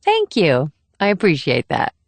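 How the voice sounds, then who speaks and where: A woman's voice: sits low, huge pitch swings, a little slow, vocal fry, no room sound, full character voice. A woman playing a kind but guarded government agent in a thriller audiobook. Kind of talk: audiobook character dialogue.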